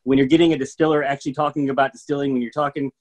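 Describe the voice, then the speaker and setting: A man's voice: medium pitch, level tone, racing. Middle-aged man, rambling and upbeat. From Michigan, USA. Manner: rambling, filler-heavy, enthusiastic. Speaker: bourbon educator guest. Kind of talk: casual video-call interview. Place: home room with a TV.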